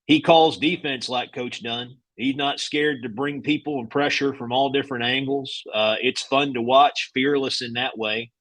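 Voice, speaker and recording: A man's voice: medium pitch, fairly even intonation, moderate pace, hardly any pauses, a bit bright, no echo, low-quality recording. A man speaking as a broadcaster guest in casual sports talk, with a folksy, anecdotal manner. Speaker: radio play-by-play broadcaster, guest.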